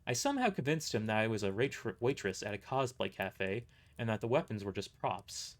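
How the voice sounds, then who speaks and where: A man narrating a first-person story aloud, reading a little haltingly and stumbling over words. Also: lowish voice, very animated.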